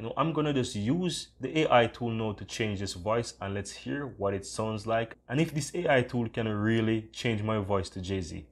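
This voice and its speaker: A man hosting a casual screen-recorded tutorial, talking in an enthusiastic, repetitive way. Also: lowish voice. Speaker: tutorial host.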